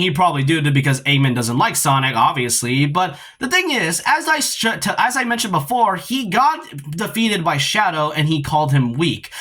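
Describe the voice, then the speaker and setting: Bright, higher-voiced man giving casual game commentary. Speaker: video essayist, game critic.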